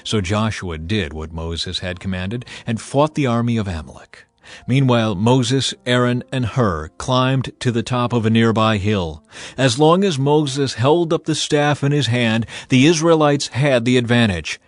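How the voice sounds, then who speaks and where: A man narrating scripture from a script in a measured, solemn, dramatized style. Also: mid-range voice, dry recording. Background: music.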